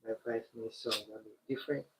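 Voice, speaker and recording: Stuffy-nosed man with a gravelly voice. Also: lowish voice, steady tone, noisy background. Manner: slow, repetitive, addresses the chat directly. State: stuffy, congested from a cold. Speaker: livestream host. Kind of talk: casual live-stream chat with viewers. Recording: dull lo-fi stream audio.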